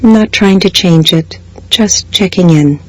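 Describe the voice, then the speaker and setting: A woman guiding a body scan meditation in a slow, measured way, leaving long pauses between phrases. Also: on the low side, rough recording. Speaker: meditation guide. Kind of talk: guided body scan meditation, scripted soothing instruction.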